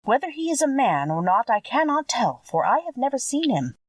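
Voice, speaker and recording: A kindly little old witch from a storybook, acted out by a woman, speaks with solemn helpfulness. Her pitch lifts and swoops from phrase to phrase, heard a little way from the microphone in a dry space. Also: sing-song, a little fast, no room sound, far from the mic. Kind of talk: audiobook character voice.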